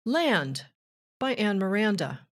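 A woman reading a rhyming children's book aloud with measured, deliberate phrasing. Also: medium pitch, big pitch swings. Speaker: children's author and narrator.

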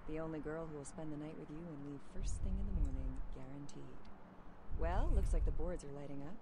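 Sultry radio host, teasing, flirtatious, noisy broadcast. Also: female voice, deep voice, brisk. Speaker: late-night radio host.

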